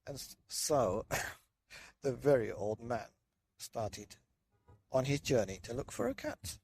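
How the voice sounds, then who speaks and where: A man reading a children's bedtime story in a loose, dramatic way with drawn-out emphasis. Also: medium pitch, vocal fry, a little mellow, breathy edge. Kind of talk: children's story narration, partly improvised.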